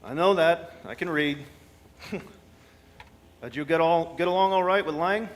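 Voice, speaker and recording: A man playing a brisk, worldly senior asteroid supervisor in a radio drama, bossy, wry and gruffly friendly. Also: a little high, close to an everyday voice.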